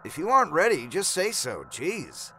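A man's voice: fairly high pitch, huge pitch swings, normal speed, pushed and bright, slight background noise, exaggerated voice, low-quality recording. A man voicing a young male lover in a horror story, casual and questioning. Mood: surprised, frustrated. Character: impatient young male lover turning concerned, then detective-like. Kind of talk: dialogue within horror story narration.

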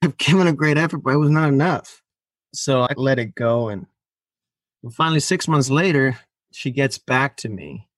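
A man's voice: mid-range voice, moderate pace, fairly bright tone, no echo, studio quality. A man from Finland, a native Finnish speaker, telling a long personal story on a podcast, acting out quoted lines and laughing often. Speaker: podcast guest. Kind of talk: casual storytelling interview.

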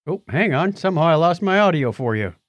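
Lively, wry man co-hosting a podcast. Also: fairly high pitch, fast, no echo. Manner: wry, joking. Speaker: podcast co-host reading odd news stories. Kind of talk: casual chat and reading news articles aloud.

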